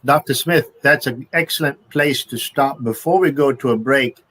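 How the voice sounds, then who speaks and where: A man's voice: medium pitch, moderate pace, fairly bright tone. Polite male talk-show host. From half Iraqi, half American. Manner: brief agreeing interjections, polite. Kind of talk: hosting a studio discussion.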